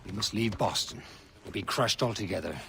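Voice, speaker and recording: A low-voiced, earnest rebel leader. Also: male voice, fairly quick, gravelly, slight background noise. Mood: resolute. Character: earnest, weary male veteran rebel leader. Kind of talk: video game cutscene acting. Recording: loud background music.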